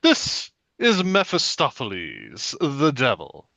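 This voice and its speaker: A man's voice: mid-range voice, huge pitch swings, takes it slowly, creaky, bright, forceful tone, no room sound, full character voice. A man playing a solemn court show announcer in a parody, reading scripted lines in a formal, emphatic way. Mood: formal, dramatic. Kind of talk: scripted TV announcement, read aloud.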